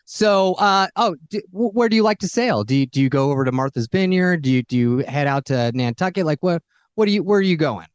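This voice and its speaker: A man with a fairly high, very animated voice co-hosts a casual chat show. He talks in an effusive, jokey way and leans hard on his points. The sound is dry and close. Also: a little fast, smooth, dry recording. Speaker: show co-host. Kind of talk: casual, bantering interview chat.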